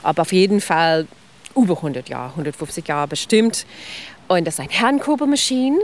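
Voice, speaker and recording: A woman's voice: lowish voice, lively intonation, normal speed. Woman, explains history with keen enthusiasm, small grammar slips, a bit of background noise. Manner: non-native German with grammar slips, enthusiastic, explains step by step. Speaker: ice cream history expert. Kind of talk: explanatory interview talk.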